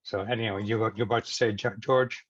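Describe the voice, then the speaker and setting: Low-voiced halting man, dull call sound. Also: steady tone, dry recording. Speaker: meeting moderator. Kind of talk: informal meeting moderation. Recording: dull lo-fi video call audio.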